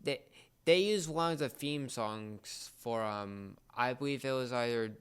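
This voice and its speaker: A young man co-hosting a casual podcast chat, speaking in a halting, rambling way and often repeating words. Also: medium pitch, a bit bright, smooth. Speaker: podcast co-host.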